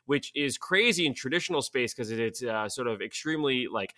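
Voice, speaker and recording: A young man from Bulgaria, a startup founder explaining things in a casual interview, quick and full of fillers like "like" and "you know". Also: mid-range voice, animated, sharp tone.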